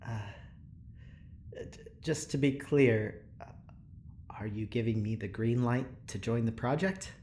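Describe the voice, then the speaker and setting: An actor playing a tired but eager, easygoing young male researcher in an audio drama, chatty, expressive and a little hesitant. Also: mid-range voice, a little slow, long pauses, a little hiss or hum, natural voice. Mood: earnest. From New Jersey. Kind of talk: naturalistic audio drama acting.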